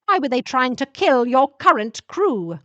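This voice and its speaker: A woman's voice: sits high, sing-song, measured pace, bright, forceful tone, no room sound, lo-fi sound, voice pushed up, heavily acted. A woman voicing a proud, somewhat arrogant male vampire professor who explains things emphatically in a sci-fi story. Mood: disdainful.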